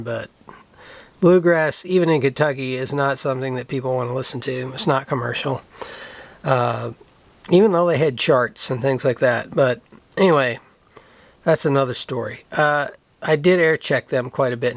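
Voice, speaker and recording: A man's voice: medium pitch, no echo. Rambling man chatting casually. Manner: rambling, thinking aloud. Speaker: video host. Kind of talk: casual show-and-tell chat.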